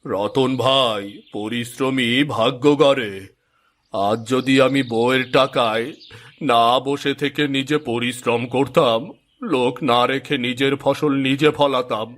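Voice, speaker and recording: An actor voicing a ruined, remorseful village husband in a moral-story cartoon, lamenting melodramatically. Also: male voice, mid-range voice, steady tone, unhurried, a bit bright, natural voice.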